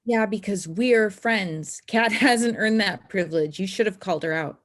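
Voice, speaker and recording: A woman's voice: mid-range voice, moderate pace, natural voice. A woman playing a stubborn teenage girl snowboarder in a screenplay table read, with a dry, sarcastic manner. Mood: indignant.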